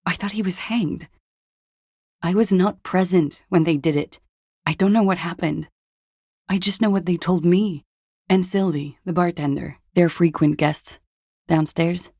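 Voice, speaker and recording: An actress playing a jaded, hard-partying young disco dancer in a video game, husky and languid, with a guarded, deadpan delivery. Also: female voice, on the low side, unhurried, no echo, studio quality. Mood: weary, confused. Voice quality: husky, gravelly with vocal fry. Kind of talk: video game voice acting, intimate dialogue.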